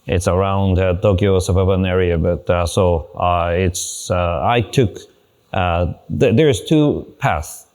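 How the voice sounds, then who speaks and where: Guy with japanese accent, deep voice, halting simple phrasing, monk talking on a panel. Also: a little mellow. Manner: halting, simple non-native English phrasing. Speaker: panel guest, Buddhist monk. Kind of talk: conversational explanation in a panel talk.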